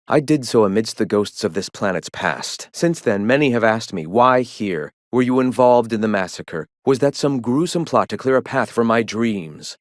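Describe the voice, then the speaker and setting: A zealous rebel prophet, played by a male actor for a video game, with a fairly low male voice in a dry, close recording. He speaks in solemn, lofty phrases and preaches his philosophy with grandiose conviction. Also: no echo, natural voice. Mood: grave, defensive. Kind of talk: video game dialogue acting.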